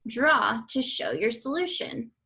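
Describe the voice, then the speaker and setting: A woman reading aloud an educational book for children in a deliberate, instructional way. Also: fairly high pitch, very animated, gravelly, sharp tone, husky. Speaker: read-aloud reader for children.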